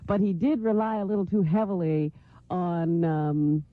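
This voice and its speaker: A woman's voice: fairly low pitch, steady tone, normal speed, no echo. Woman doing the news on a radio show, laughs a lot, dry tinny old recording. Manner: laughs often, chatty news delivery. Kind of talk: reading news in radio banter. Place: New York radio studio. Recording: lo-fi phone-like radio broadcast.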